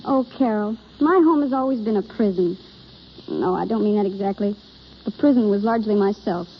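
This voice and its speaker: A woman's voice: mid-range voice, brisk, lightly acted. An actress playing a shy, earnest young woman yearning for romance in 1930s radio drama style, confiding and hesitant. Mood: earnest, wistful. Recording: scratchy, muffled old radio broadcast.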